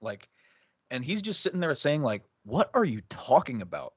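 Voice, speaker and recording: A man's voice: mid-range voice, big pitch swings, normal speed, husky, no room sound, dull audio. Casual male podcast host, dry sound. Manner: conversational, laughs while telling stories. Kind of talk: casual religious discussion.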